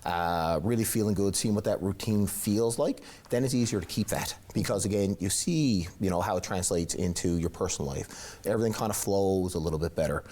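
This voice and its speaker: A man's voice: deep voice, fairly quick, darkish tone. A man, a personal trainer interviewed in a TV studio, giving encouraging advice with lots of fillers.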